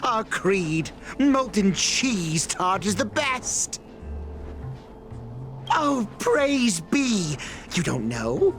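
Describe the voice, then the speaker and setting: A woman's voice: mid-range voice, very animated, extremely slow, pauses often, faint music behind. A woman playing an earnest, devout cult follower in a video game, reverent and confiding. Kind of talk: video game character acting.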